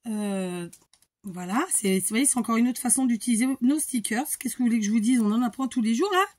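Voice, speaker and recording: A woman's voice: on the high side, fairly quick, a little mellow. A middle-aged woman from the Paris region, chatting casually and rambling through a crafting tutorial. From Paris region, France. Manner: chatty and rambling, many fillers and restarts.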